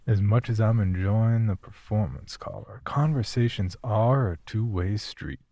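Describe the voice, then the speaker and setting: An actor playing a flirtatious late-night radio DJ, smooth-talking and seductive, with teasing, breathy half-whispers. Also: male voice, on the low side, measured pace, warm tone, no room sound. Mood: sultry, teasing.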